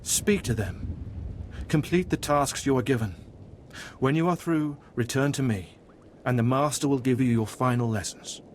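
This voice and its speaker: A man playing a devout, guarded cult mentor in a video game, speaking in a formal, reverent, measured way. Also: medium pitch, slight background noise, lightly acted. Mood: solemn, reverent. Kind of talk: scripted video game voice acting.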